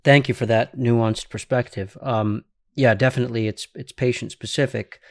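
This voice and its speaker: A man's voice: mid-range voice, fairly even intonation, moderate pace. A man hosting a podcast interview, asking curious follow-up questions. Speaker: podcast host.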